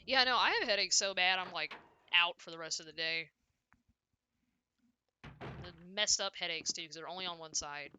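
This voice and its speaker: A young woman chatting casually and loudly on a game livestream, rambling and often cutting herself off as she plays. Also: fairly low pitch, moderate pace, pauses often, a little hiss or hum. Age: young adult. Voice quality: loud voice. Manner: rambling, self-interrupting, frequent "like". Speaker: video game streamer. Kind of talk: casual livestream chat while playing.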